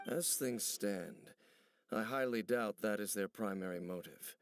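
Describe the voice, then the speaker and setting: An actor playing a solemn, brooding ancient warrior in a video game, speaking gravely and formally, embittered by old betrayal. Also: male voice, lowish voice, dry recording, clean studio sound, lightly acted. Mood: grave, wary. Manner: grave, formal, measured speech. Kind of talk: video game character dialogue. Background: background music.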